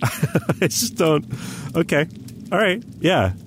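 An actor playing an unsure male newcomer to a game speaks in halting, stammering bursts with a very animated pitch. He comes across as overwhelmed but friendly, in natural audio drama dialogue, with noticeable background noise. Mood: puzzled, doubtful. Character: overwhelmed, hesitant male rookie gamer, confused but friendly.

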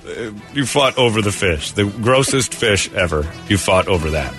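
A man's voice: lowish voice, animated, moderate pace, fairly bright tone, faint music behind. A man hosting a radio show with sarcastic, casual comedic banter.

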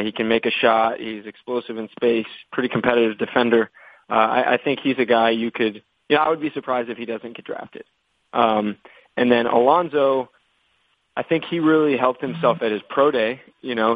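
A man's voice: mid-range voice, steady tone, moderate pace, no echo. American man on a tinny phone line. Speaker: basketball draft analyst. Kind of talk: media conference call question-and-answer. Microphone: telephone.

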